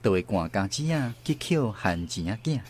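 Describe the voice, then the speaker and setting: A man's voice: lowish voice, very animated, normal speed, darkish tone, slight background noise. A man with a Taiwanese accent narrating a scripted first-person story for a radio drama. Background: faint guitar music.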